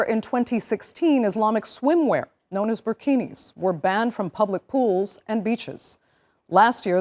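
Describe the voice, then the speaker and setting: A woman hosting a news program, reading a script in a clear, measured way with emphatic openers. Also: medium pitch, a little mellow. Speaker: news show host.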